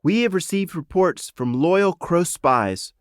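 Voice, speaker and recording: A man's voice: sits high, expressive, takes it slowly, clean tone, no room sound, clean studio sound, pitched above the performer's own voice. A man voicing a resolute young animal noblewoman in a storybook, firm and purposeful. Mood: firm, commanding.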